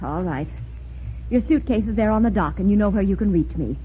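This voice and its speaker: Muffled vintage radio, lively intonation, actress as stern middle-aged aunt, proper, tart, disapproving, protective. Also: female voice, mid-range voice, brisk, lightly acted. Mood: resigned, fond. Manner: crisp-worded, proper, tart. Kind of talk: 1940s radio drama acting. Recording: muffled, hissy old radio broadcast.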